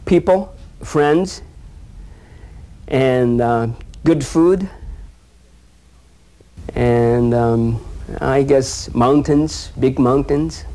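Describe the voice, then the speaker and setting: An older man with a Japanese accent, answering interview questions haltingly, pausing to find his words. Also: mid-range voice, warm tone, slight background noise. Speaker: interviewee, business owner.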